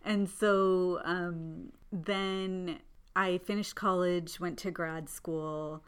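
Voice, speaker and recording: A woman with a slightly low, even voice speaks as a podcast guest. She tells her own story earnestly and thoughtfully, pausing often and easing in with small fillers. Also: clean tone. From Southern California, born in India. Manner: earnest, thoughtful, often pauses with "like" and soft laughs. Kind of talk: reflective personal storytelling in conversation.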